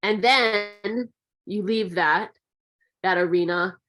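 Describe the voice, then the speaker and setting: A woman hosts the conversation, chatty and encouraging, and she runs her thoughts together in long stretches. Her voice is of middle pitch. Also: takes it slowly, fairly bright tone. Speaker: interview host. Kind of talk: casual interview conversation.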